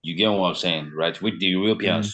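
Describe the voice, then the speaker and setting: A man's voice: low voice, a little fast. A man from Nigeria whose first language is Yoruba, talking casually as a podcast guest and filling his speech with "you know" and "man". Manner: relaxed, lots of fillers like "you know" and "man". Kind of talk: casual conversation.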